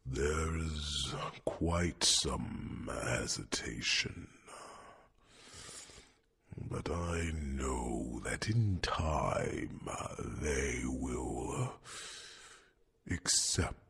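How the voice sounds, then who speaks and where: A man voicing a wise, gentle old dragon advisor in an ASMR fantasy roleplay, with a gravelly, breathy, rumbling whisper and thoughtful hums. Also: lively intonation, exaggerated voice. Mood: calm, reassuring. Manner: slow, deliberate, with thoughtful hums.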